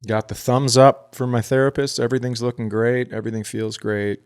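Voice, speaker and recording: Brief: mid-pitched male comic, lively podcast banter, voice leaps up when telling stories. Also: fairly quick, smooth. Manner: animated, jumps up in pitch when storytelling. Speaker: podcast co-host and comedian. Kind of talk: casual chat.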